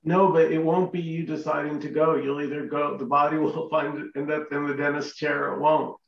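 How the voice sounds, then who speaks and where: A man's voice: a little high, flat delivery, fairly quick, gravelly, reverberant, distant mic, muffled recording. A man hosting a casual group chat, talking emphatically and repeating short denials over a video call. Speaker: host.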